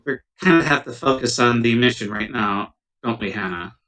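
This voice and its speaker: A man with a bright, forceful voice playing a tabletop roleplaying game in casual speech. He is picked up from a little way off the microphone. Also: mid-range voice, moderate pace, slight background noise. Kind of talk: casual game chat, in and out of character. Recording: distant mic on laptop recording.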